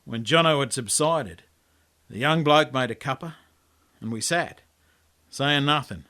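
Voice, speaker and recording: A man's voice: medium pitch, unhurried, bright, forceful tone. An Australian man reading his own story as a colloquial, laconic first-person yarn. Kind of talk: storytelling monologue, first-person character narration.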